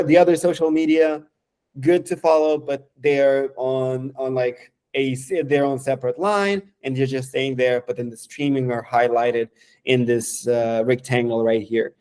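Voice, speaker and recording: Mellow-voiced man demoing software. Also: medium pitch, smooth. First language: Romanian. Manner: halting, lots of fillers and restarts. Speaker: software developer. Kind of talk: casual screen-share product demo.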